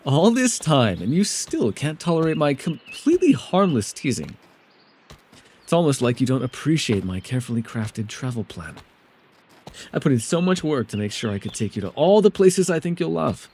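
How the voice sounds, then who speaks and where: A man playing a mysterious, soft-spoken elf with a gently teasing, secretive way, in an immersive ASMR roleplay with hesitant, tender asides. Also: mid-range voice, sing-song, normal speed, breathy edge. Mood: playful teasing.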